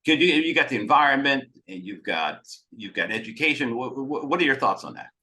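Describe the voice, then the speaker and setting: Animated, bright-voiced man asking interview questions. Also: mid-range voice, brisk. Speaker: podcast co-host, interviewer.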